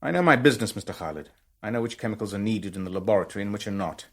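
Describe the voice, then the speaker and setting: A man's voice: on the low side, expressive, rapid-fire. An actor playing a guarded, stubborn businessman in a radio drama, speaking in a terse, controlled way. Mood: guarded, defiant. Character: guarded, stubborn businessman held under questioning.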